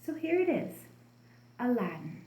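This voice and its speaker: A woman telling a children's story as an expressive storybook narrator. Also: on the high side, unhurried. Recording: roomy, echoing room sound.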